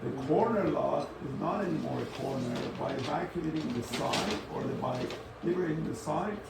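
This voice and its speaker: A man thinking aloud in an unscripted academic architecture discussion, halting and often rephrasing as he moderates. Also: on the high side, animated. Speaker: discussion moderator responding to speakers. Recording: roomy, distant microphone, clear background noise.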